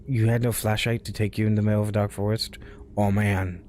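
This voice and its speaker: A man hosting a spooky story show, reading tales aloud in a hushed voice that often drops to a whisper. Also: on the low side, little pitch movement, brisk, a little hiss or hum. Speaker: storyteller host.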